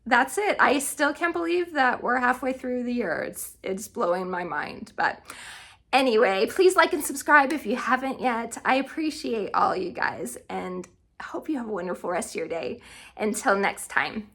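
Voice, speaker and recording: A woman's voice: on the high side, lively intonation, moderate pace, creaky, fairly bright tone. A woman talking casually and enthusiastically to viewers as a book vlogger, in a chatty way.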